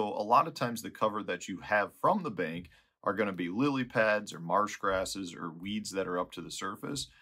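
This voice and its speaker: A man's voice: sits low, pushed and bright. A man in his forties with a Midwestern American accent gives informal, conversational instruction to camera as a fishing guide. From Chicago area, United States. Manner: explanatory, conversational. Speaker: fishing guide and video host. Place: small indoor tackle room.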